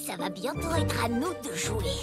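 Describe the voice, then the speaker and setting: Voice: mid-range voice, sing-song, moderate pace, lightly acted. An actor voicing a cocky, mocking kid bully in a French prehistoric cartoon, with a sneering, theatrical delivery. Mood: smug, sneering. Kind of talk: French children's cartoon dubbing. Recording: loud music behind the voice.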